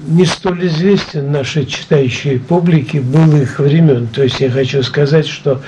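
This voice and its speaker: A man's voice: a little high, normal speed, dark tone, muffled recording. Older man introducing a radio play. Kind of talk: reflective spoken introduction. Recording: noisy recording with clicks.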